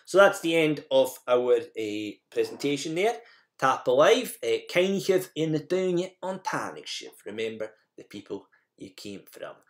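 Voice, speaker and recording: Man, language tutor, mid-range pitch, very varied intonation, informal step-by-step instruction, repeats example phrases. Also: sing-song, a little slow. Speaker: Gaelic language teacher presenting a lesson. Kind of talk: slide lesson narration with instructions and example sentences.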